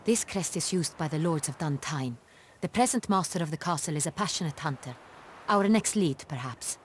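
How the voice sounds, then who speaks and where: A woman playing a poised, earnest noble ruler in a fantasy video game, with formal and measured phrasing. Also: low voice, expressive, slight background noise. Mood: composed. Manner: formal, measured, precise wording. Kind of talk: video game dialogue acting.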